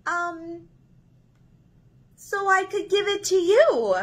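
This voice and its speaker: A woman's voice: extremely high pitch, slow pace, voice pushed up. A woman voicing a small, polite, innocent duckling from a picture book. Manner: sweet, simple, polite.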